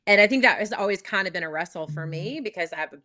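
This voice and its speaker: A woman's voice: medium pitch, expressive, quick pace, pushed and bright. A woman in her thirties, a worship leader and recording artist, talking earnestly in a casual interview, rambling with fillers and restarts.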